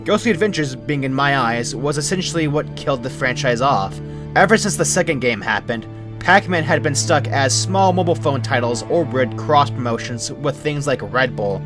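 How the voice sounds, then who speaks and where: A man's voice: medium pitch, animated, moderate pace. A young man reading a scripted first-person story as a creepypasta narrator. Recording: music behind the voice. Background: music.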